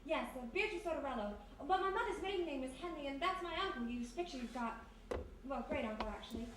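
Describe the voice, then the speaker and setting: A woman playing a bold, quick-witted young lady sleuth in a period radio mystery. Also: high-pitched, lively intonation. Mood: eager, flattering. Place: live performance in a historic house. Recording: very echoey live stage, distant, noisy.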